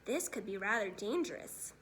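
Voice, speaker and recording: A woman's voice: mid-range voice, animated, normal speed, a bit bright, slight background noise, voice pushed down, natural voice. A woman voicing a curious, plucky eight-year-old girl in a storybook, speaking in short, direct questions and exclamations. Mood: wondering, wary.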